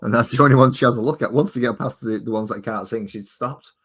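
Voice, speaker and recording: A man's voice: medium pitch, fast talker, fairly bright tone, husky. A man hosting a livestream, chatting in a jokey, rambling way with his co-host and viewers. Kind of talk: casual chat while painting.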